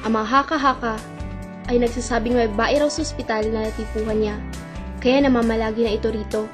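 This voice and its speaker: A young woman, acting as lead and narrator of an amateur radio drama, a lovesick young hospital worker speaking softly and wistfully. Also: a little high, fairly even intonation, brisk, close to an everyday voice. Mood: reflective. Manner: soft, wistful, breaking into tearful pleading. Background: music.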